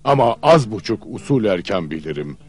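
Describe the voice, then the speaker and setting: A man playing a sly man-eating giant father who feigns friendliness in an old cartoon, with theatrical, drawn-out folksy delivery. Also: lowish voice, very animated. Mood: generous, coaxing. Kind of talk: old cartoon fairy-tale dubbing.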